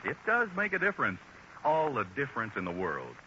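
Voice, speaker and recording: An American man announces in vintage 1940s radio style. His delivery is lively and varied in pitch, built for selling. The recording is dull with clear background noise. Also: fairly quick.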